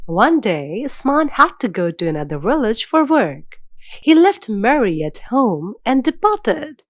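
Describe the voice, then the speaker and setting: A woman narrating a scripted children's fairy tale in a measured, dramatic storytelling manner. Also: fairly high pitch, very animated, dry recording, clean studio sound.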